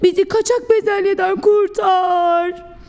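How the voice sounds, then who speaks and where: Tiny, excitable storybook vegetables, voiced by a woman, squeal out in a very high register. The delivery is cute, shrill and sing-song, full of exclamation. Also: unhurried. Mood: alarmed.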